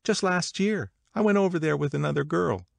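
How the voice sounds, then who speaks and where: A man voicing a gossipy, worldly young woman in an audiobook, confiding and excitable in manner. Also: fairly high pitch, a little fast, creaky, dry recording, studio quality, voice pushed up. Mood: animated gossip. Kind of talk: audiobook character dialogue.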